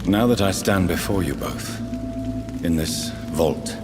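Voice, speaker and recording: A man acting an educated, brooding gentleman vampire doctor in a video game, speaking in a grave, formal, probing manner. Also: sits low, animated, slow. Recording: music and rain behind the voice.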